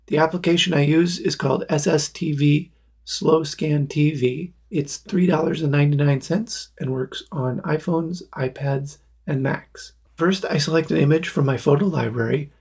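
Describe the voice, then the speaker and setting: Male tutorial presenter, forties, very husky and breathy with some creak, plain explanatory delivery. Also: a little high, moderate pace, darkish tone. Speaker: video maker presenting a tutorial.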